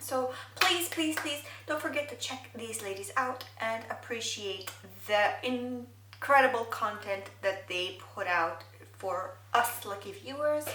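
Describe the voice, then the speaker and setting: Young woman, Arabic native, beauty vlogger; bright, slightly high voice, roomy echo from a camera mic set back. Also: far from the mic, a little hiss or hum. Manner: thoughtful, wordy, many fillers. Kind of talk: get-ready-with-me makeup chat. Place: bedroom, indoors.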